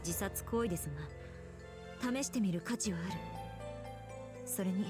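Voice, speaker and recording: A woman's voice: mid-range voice, quick pace, lightly acted. An actress playing a stern, resolute woman. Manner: firm, decisive. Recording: game audio under music. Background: game music.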